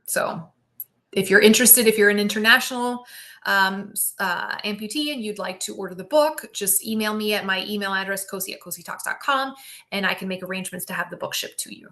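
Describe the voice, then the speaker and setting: A middle-aged woman physical therapist hosting a live Q&A stream, explaining anatomy with enthusiasm and often checking in with "right?". She projects loudly after taking off her microphone. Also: mid-range voice, brisk. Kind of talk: live-stream Q&A, casual teaching. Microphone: built-in device mic.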